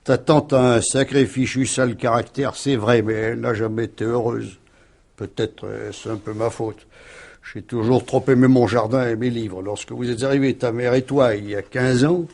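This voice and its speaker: Kindly, teasing bookish uncle played by an actor. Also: male voice, mid-range voice, fairly even intonation, moderate pace, close to an everyday voice. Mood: wistful, indulgent. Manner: gently teasing, reassuring. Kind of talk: French radio mystery drama acting.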